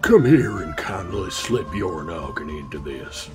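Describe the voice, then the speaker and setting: A man's voice: deep voice, expressive, a little slow, over music. A man voicing a grizzled, menacing old Texas judge with an Old in a cartoon comic dub. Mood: drawling threat. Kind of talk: fan comic dub acting.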